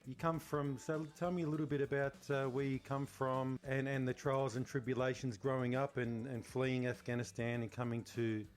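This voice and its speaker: A man's voice: medium pitch, level tone, moderate pace, hardly any pauses, faint music behind. A man co-hosting a podcast, joking and self-deprecating while he asks his co-host questions. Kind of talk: casual interviewing chat.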